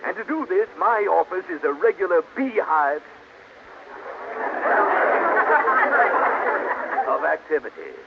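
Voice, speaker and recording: A man playing a stuffy, formal civic gentleman who hems and is easily flattered, in an old-time radio comedy. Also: fairly high pitch, brisk, gravelly, a crowd in the background. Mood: self-important. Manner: pompous, formal, hemming. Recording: muffled old radio recording, faint noise.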